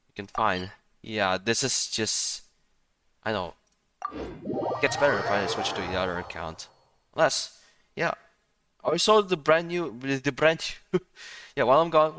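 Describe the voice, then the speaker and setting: A man giving casual, rambling playthrough commentary on a game, often halting and chuckling between phrases. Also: medium pitch, bright, forceful tone, a little hiss or hum. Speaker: gaming streamer.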